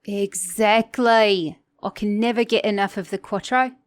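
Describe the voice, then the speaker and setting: A woman's voice: mid-range voice, steady tone, unhurried, a little hiss or hum, close to an everyday voice. A woman with a mixed Australian and British accent playing a flirty, sassy, teasing car mechanic in an ASMR romance roleplay. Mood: excited, playful. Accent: Australian-British mix. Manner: flirty, teasing, playful, with soft chuckles.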